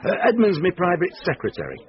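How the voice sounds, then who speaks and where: An actor with a Northern English accent playing a brash, shady, self-made club boss in a radio drama, folksy and blustering. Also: male voice, high-pitched, huge pitch swings, quick pace. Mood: jovial boasting. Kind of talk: British radio drama acting. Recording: dull lo-fi radio broadcast.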